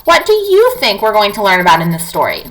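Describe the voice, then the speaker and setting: A woman's voice: mid-range voice, wildly swooping pitch, fast talker, fairly bright tone, low-quality recording. A woman teacher reading a non-fiction book aloud to young children, talking to them directly with questions and prompts.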